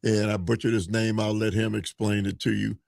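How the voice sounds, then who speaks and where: Male talk show host, bit of gravel in the voice, takes his time and pauses, really dry sound. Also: fairly low pitch, level tone, darkish tone, dry recording. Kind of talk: interviewing a guest on a live talk show.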